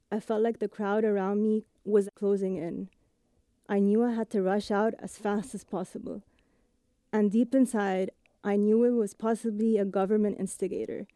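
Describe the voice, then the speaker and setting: Mellow-voiced woman with a blended accent. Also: medium pitch, no echo. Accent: blend of British and American English with Egyptian and Italian touches. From Egypt. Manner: measured, reflective narration. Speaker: audio journalist. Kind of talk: scripted first-person radio essay.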